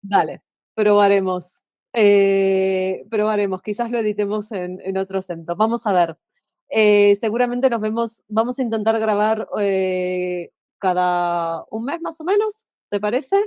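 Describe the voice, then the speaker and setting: A woman co-hosting a podcast, chatting casually and backing her partner with quick agreement. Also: medium pitch, darkish tone, no echo, studio quality. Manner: encouraging, frequent short agreements. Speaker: podcast co-host. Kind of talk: casual conversation.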